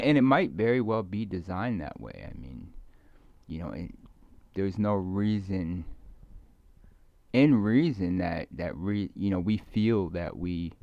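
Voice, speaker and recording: A middle-aged man giving a rambling, musing ASMR talk, halting and repeating himself as his thoughts meander and trail off. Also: deep voice, no room sound. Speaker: ASMR video creator. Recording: muffled lo-fi close microphone, dry.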